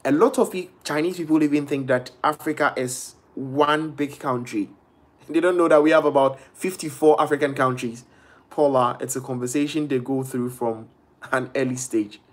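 Animated young man on a webcam live stream. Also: medium pitch, moderate pace. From Ghana. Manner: animated, gestures a lot, quotes people in shifting voices. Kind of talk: casual live stream monologue. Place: small bedroom indoors. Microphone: laptop or phone webcam at arm's length.

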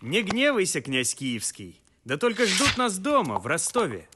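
An actor playing a young, earnest, simple-hearted folk hero in an animated film, speaking in solemn, old-fashioned folksy phrases. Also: male voice, high voice, normal speed, very noisy. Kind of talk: animated film acting, folk-tale speech.

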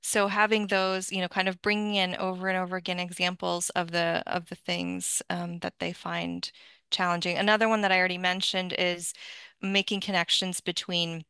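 A woman's voice: fairly low pitch, little pitch movement, normal speed, pushed and bright, clean tone, dry recording. A woman in her forties, a Russian teacher on an online panel, speaking thoughtfully with frequent fillers and restarts over a headset microphone. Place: home room, video call.

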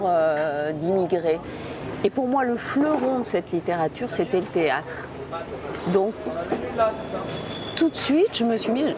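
A middle-aged woman from Tunisia, answering interview questions reflectively with pauses and restarts, on an outdoor café terrace with a lapel mic. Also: medium pitch, background noise. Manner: reflective, with frequent hesitations and restarts. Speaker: theatre director and physicist.

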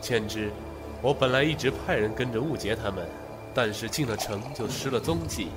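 Man acting an earnest ally, mid-pitched, music behind. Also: moderate pace, close to an everyday voice. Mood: grave, concerned. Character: grave, concerned male ally bringing intelligence. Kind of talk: Chinese period audio drama acting.

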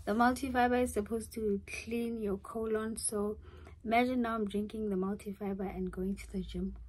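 A woman's voice: mid-range voice, fairly even intonation, moderate pace, a little mellow, a little hiss or hum, rough recording. A young woman from South Africa chatting casually in a vlog, giggly and thinking aloud as she goes. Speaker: vlogger.

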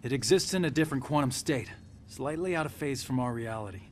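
A man's voice: mid-range voice, lively intonation, fast, slight background noise, lightly acted. Man playing an articulate young warrior monk. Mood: matter-of-fact. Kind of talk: video game cutscene acting.

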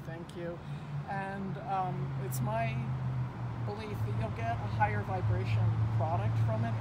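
An older woman co-hosting a foraging class outdoors, earnest, chatty and gently spiritual, filmed by a phone a few meters away. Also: mid-range voice. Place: outdoor garden under a canopy. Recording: outdoor, traffic noise behind.

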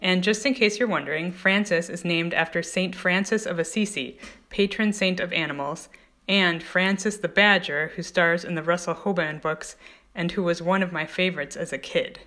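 A woman's voice: lowish voice, fairly even intonation, normal speed, a bit bright. A woman in her thirties or forties speaking to a webcam indoors, presenting a short trivia question as an author. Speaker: children's author. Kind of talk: self-recorded trivia question to camera. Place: indoors, plain room.

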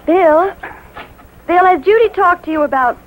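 A woman playing a gentle, wistful young mother in a 1950s radio drama, speaking sincerely. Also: extremely high pitch, unhurried, a little hiss or hum, rough recording. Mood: worried, wistful. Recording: vintage 1950s radio recording.

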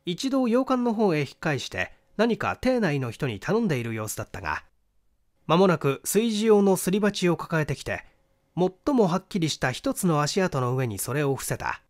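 A man narrating a mystery novel in a theatrical, deliberate storytelling manner. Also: on the high side. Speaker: audiobook narrator and stage actor.

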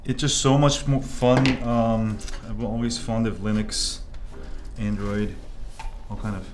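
A man's voice: takes it slowly, background noise. Chatty man talking through a soldering job. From Korea. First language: Korean. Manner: chatty, jumps into excited high exclamations and mutters asides. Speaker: 3D printing tutorial host. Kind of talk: casual hands-on tutorial. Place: indoor workbench. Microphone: off-camera, hands-only shot at workbench.

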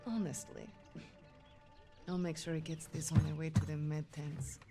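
An actress playing a wry, defiant young Soviet nurse with a Russian accent and a dry, teasing manner. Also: female voice, deep voice, level tone, moderate pace. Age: young adult. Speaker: actor in a video game. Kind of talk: cinematic game dialogue. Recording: music behind the dialogue.

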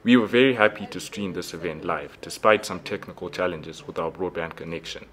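A man's voice: low-pitched, a little fast, fairly bright tone. A man presenting a school newsreel in scripted news narration.

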